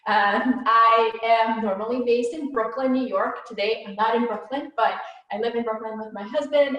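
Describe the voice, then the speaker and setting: A woman speaks as a panelist, giving a conversational, professional introduction. Her pitch is medium and the room around her voice echoes heavily. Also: fairly bright tone. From Brazil.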